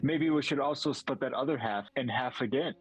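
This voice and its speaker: A man's voice: mid-range voice, fairly quick, husky. Cautious man playing a fantasy tabletop game. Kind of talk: in-character tabletop game talk. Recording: music behind the voice. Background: music.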